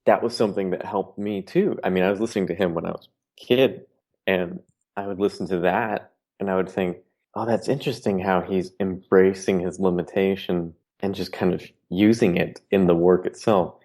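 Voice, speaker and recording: A very deep-voiced man speaks as a guest on a podcast. He is an artist who talks in an earnest, philosophical way, reflecting thoughtfully in casual conversation. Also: fairly even intonation, moderate pace, a little mellow.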